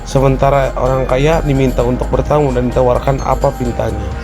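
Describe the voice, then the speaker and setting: A young adult man reading a scripted spoken-word essay in a rhetorical, declamatory manner. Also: medium pitch. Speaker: university student.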